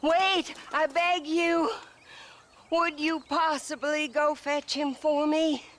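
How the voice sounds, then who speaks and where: An actress playing a deluded, genteel, fragile bride in a western video game. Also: female voice, high-pitched, fairly even intonation, slow, a bit bright. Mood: pleading.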